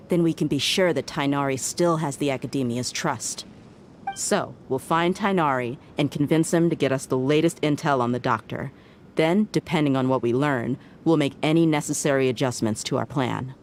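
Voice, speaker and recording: A woman's voice: deep voice, slight background noise, natural voice. A woman voicing a playful, teasing young female mercenary in a game. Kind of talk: game voice acting. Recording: game music playing loud behind.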